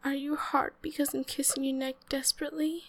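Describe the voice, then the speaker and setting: A young woman voicing an eager, submissive young female elf in an intimate audio roleplay, speaking in a breathy, pleading voice that often drops to a whisper. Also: sits high, little pitch movement, a little fast.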